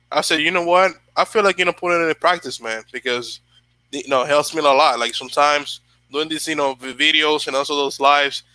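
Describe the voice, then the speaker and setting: A man's voice: a little high, sharp tone, dry recording, poor audio. A young man from the Dominican Republic hosting a casual motivational livestream, rambling with many fillers and restarts while he answers viewers. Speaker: channel host.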